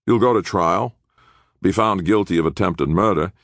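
A man's voice: sits low, normal speed, creaky, no echo, studio quality, pitched above the performer's own voice. A man voicing a youngish public defender in an audiobook, cold, impatient and disapproving. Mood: stern. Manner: curt, disapproving, accusatory.

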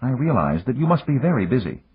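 Man voicing a dignified, spirited young woman, raised pitch. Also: big pitch swings, fast talker, slightly rough. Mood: polite. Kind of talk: audiobook character voice. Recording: echoey room, muffled narrow-band sound.

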